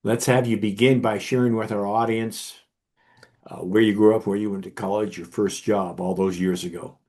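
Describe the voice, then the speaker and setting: Man, podcast host, low voice, brief prompts, introduces guest. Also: little pitch movement, normal speed, darkish tone. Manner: brief interjections and prompting questions. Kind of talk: interview hosting.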